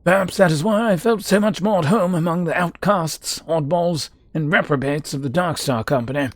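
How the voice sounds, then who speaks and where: A man's voice: fairly high pitch, moderate pace, no room sound, pitched above the performer's own voice, close to an everyday voice. A man voicing a proud, theatrical mercenary archaeologist from a fantasy game, telling his past in florid, formal speech. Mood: nostalgic, reflective. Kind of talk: character voice in a fantasy game read-through.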